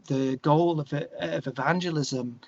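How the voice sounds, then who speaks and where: Male interview guest, slightly higher register, conversational and opinionated, working through thoughts aloud, on a dull lo-fi recording. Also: slow, darkish tone, dry recording. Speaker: interview guest, musician and songwriter.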